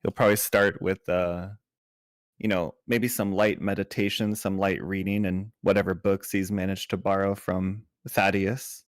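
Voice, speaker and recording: A man with a slightly low voice takes part in a tabletop role-playing game. He describes his character in a casual, improvised way, thinking aloud. The recording is dry. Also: level tone, normal speed, no echo.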